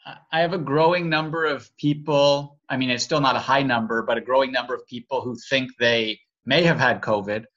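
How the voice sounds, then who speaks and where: A man's voice: medium pitch, steady tone, brisk, hardly any pauses, a bit bright. A man co-hosting a podcast in a casual, conversational style, on slightly lo-fi audio. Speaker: podcast co-host.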